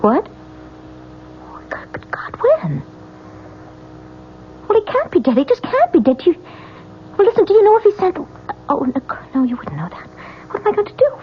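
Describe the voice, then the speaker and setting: An actress playing an anxious, desperate wife in a radio drama, with a halting, pleading delivery. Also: female voice, very high voice, huge pitch swings, exaggerated voice. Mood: distraught. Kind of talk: 1970s radio drama acting. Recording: muffled, noisy old radio broadcast.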